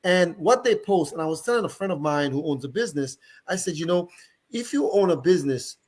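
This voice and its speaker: A man from Dominica with a Dominican accent, a guest on a radio panel, speaking in a lively, emphatic way. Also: fairly high pitch, a little fast, husky.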